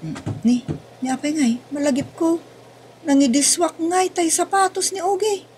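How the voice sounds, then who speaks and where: A woman's voice: extremely high pitch, fairly even intonation, unhurried, a little mellow, a little hiss or hum. A woman acting the part of a forgetful, busy housewife in a homemade comedy skit, exasperated and muttering to herself.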